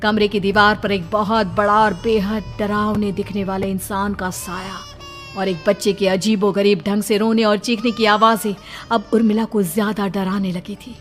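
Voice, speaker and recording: A woman storyteller reads a scripted horror tale with drama. Her pitch is medium for a woman, and light music runs behind her narration. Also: level tone, normal speed.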